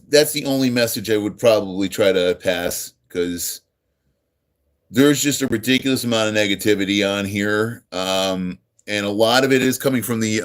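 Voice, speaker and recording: Laid-back middle-aged American streamer. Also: male voice, fairly low pitch, fairly bright tone. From United States, near New York. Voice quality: full radio-style voice. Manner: laid-back, friendly, rambling with many ums and uhs. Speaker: live streamer. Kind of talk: casual live-stream chat, reading and answering viewers.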